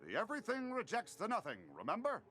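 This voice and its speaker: A man voicing a troubled otherworldly being in a video game, speaking formally and haltingly, questioning itself. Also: high voice, wildly swooping pitch, sharp tone, full character voice. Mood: troubled, brooding.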